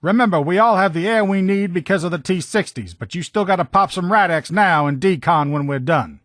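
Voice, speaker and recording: A man voicing a gruff, loyal military lieutenant in a video game, with blunt, profane, folksy soldier talk. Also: high voice, brisk, fairly bright tone, no echo, clean studio sound. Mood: briefing, commanding. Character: gruff, loyal military lieutenant in power armor, protective comrade. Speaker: voice actor in a game mod. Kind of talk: video game character dialogue lines.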